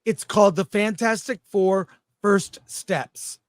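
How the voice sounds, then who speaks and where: A man hosting a casual panel chat, telling things in an enthusiastic, halting way. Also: high-pitched, no room sound, studio quality. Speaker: host.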